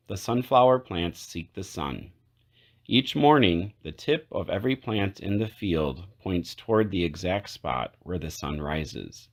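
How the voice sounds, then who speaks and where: A man narrating a children's picture book aloud, phrase by phrase in a measured way with clear pauses. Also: low-pitched. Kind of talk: read-aloud storybook narration.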